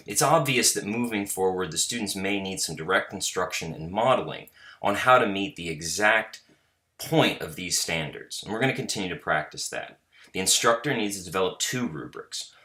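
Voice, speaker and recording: Male teacher, very low pitch, bright forceful tone, loud voice, measured self-critical voice-over. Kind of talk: reflective voice-over narration.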